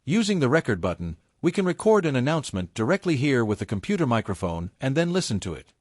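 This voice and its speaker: A man reading a scripted technical tutorial step by step in a measured, methodical way. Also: medium pitch, very animated, no echo, clean studio sound. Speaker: tutorial presenter.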